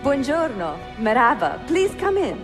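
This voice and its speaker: An actress playing a gracious, spirited young Italian bookseller, with an Italian accent and polite, welcoming manner in a video game. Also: female voice, sits high, very animated, measured pace, long reverb tail, over music. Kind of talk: cinematic video game acting.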